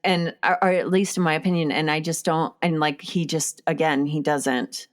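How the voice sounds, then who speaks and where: A woman's voice: deep voice, steady tone, moderate pace. A woman chatting casually about a film as a co-discussant, emphatic and opinionated, with casual swearing. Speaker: podcast co-discussant.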